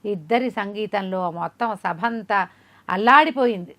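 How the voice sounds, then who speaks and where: A woman narrating a folk tale as an oral storyteller, in an expressive, conversational way with rhetorical questions. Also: mid-range voice, measured pace.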